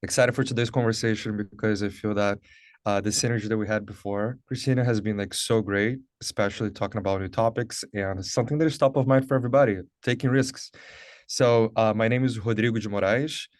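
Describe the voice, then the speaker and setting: A man's voice: fairly low pitch, fairly even intonation, a little fast, dry recording, clean studio sound. A man from Brazil in his thirties, a Portuguese native speaker, talking about his career as a guest on a video call, upbeat and earnest with lots of "like" and "uh". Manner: upbeat, earnest, many fillers like "like" and "uh". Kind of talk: conversational interview, webinar.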